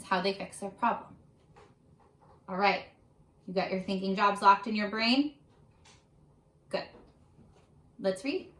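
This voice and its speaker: A woman reading aloud to children as a teacher, addressing them directly with questions in an animated, instructional way. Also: medium pitch. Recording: roomy, echoing sound.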